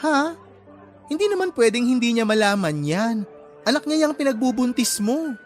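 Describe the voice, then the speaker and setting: Casting: caring, teasing young guy best friend, radio drama; expressive, coaxing, light music underneath. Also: very high-pitched, normal speed. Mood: startled, concerned. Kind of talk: Tagalog radio drama acting.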